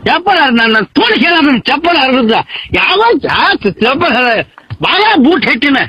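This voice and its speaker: A man's voice: very high voice, expressive, normal speed, bright, forceful tone, no echo. A man with a North Karnataka accent arguing on a phone call, stammering and repeating himself. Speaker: prank call participant. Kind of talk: heated phone argument in village dialect. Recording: dull lo-fi phone call recording.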